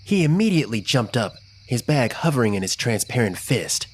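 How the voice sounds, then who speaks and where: Expressive man narrating a children's story. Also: mid-range voice, brisk, slightly rough. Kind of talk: scripted first-person children's story narration.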